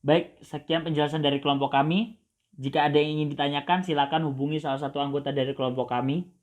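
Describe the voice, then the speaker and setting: A young male student wraps up a class presentation in a polite, formal tone. His voice sits a little higher than most men's. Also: steady tone, quick pace. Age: young adult.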